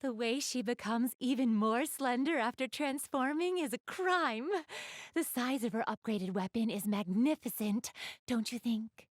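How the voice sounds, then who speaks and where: A woman's voice: fairly high pitch, animated, measured pace, dry recording, studio quality. A woman playing an elegant, doting big-sister goddess in a video game, speaking in polite, formal, refined phrases straight to the listener. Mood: proud, doting. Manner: polite, formal, refined diction, gushing over what she adores. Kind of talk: video game character acting, addressing the listener.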